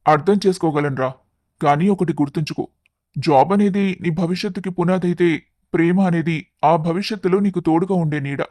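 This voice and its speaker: A man acts out a level-headed young best friend in an animated moral story. The voice is high for a man with almost no echo, and it advises in an encouraging, motivational way. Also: moderate pace, studio quality, lightly acted.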